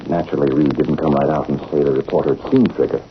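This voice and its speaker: An actor playing a scheming, falsely genial politician in a 1940s style radio drama. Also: male voice, a bass voice, fairly even intonation, fast. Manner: falsely genial, evasive, hesitant. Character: smooth-talking, shifty, scheming big-time politician. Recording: muffled, noisy old radio broadcast.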